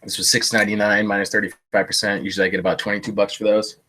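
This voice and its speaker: A man's voice: fairly low pitch, little pitch movement, racing, sharp tone. A man with a stuffy, congested voice chatting casually on a live stream about thrift finds, rambling with lots of fillers and self-deprecating jokes. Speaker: thrift reseller streaming a haul. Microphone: live-stream setup.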